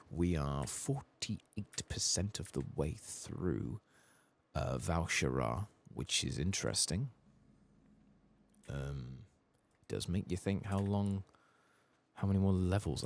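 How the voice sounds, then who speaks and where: Male ASMR gaming host: extremely low, gravelly, soft-spoken, casual muttering with lively swings in pitch. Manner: casual muttering, swearing mildly. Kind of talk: soft-spoken ASMR game playthrough commentary.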